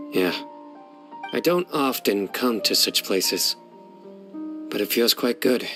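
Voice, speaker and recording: A man's voice: fairly low pitch, expressive, takes it slowly, bright, forceful tone, soft background music, full character voice. A man voicing a reserved young man in a game, speaking tersely and plainly. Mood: relaxed. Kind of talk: game cutscene dub.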